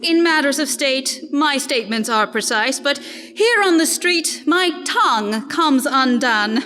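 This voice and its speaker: A woman's voice: sits high, lively intonation, unhurried, sharp tone, long reverb tail, heavily acted. A woman voicing a blustering, self-important town mayor in a stage farce, pompously fumbling grand words.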